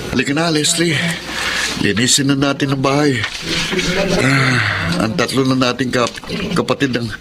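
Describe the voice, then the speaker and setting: A man's voice: medium pitch, steady tone, moderate pace, heavy background noise, lightly acted. A man playing an earnest, dutiful young working student in a Filipino radio drama. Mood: encouraging.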